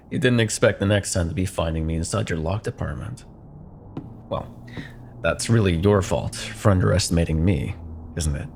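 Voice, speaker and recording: A man's voice: sits low, normal speed, vocal fry, long pauses, darkish tone, a little hiss or hum. A man playing an arrogant, possessive corporate CEO ex-lover in a cyberpunk ASMR roleplay, sardonic and menacing, with condescending pet names. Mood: smug mockery. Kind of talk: ASMR roleplay, dramatic monologue.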